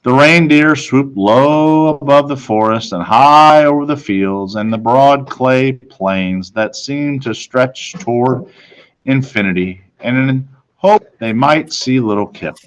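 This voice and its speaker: A man reading a children's storybook aloud in a dramatic manner, with deliberate pauses. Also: mid-range voice, rough recording. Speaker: storybook reader.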